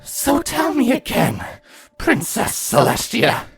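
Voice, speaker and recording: Man acting a vengeful grieving monster queen, storybook fantasy, theatrical menace, very animated pitch, slow pace. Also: creaky, husky, faint music behind, exaggerated voice. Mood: choked, bitter. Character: spiteful, grieving changeling queen, vengeful hysterical mother.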